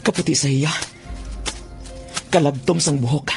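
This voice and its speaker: A man's voice: fairly high pitch, a little slow, creaky, music in the background. A man acting a brash, easily awestruck young bird trapper in a radio drama, theatrical, with a Western Visayan Filipino accent. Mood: awed. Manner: theatrical, stammering when startled. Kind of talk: radio drama acting with first-person narration.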